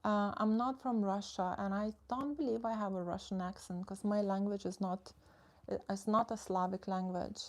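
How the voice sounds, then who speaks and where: A woman's voice: medium pitch, steady tone, normal speed, darkish tone. A young woman, a social media coach chatting casually on a live stream, reading and answering viewer comments in a frank, filler-heavy way.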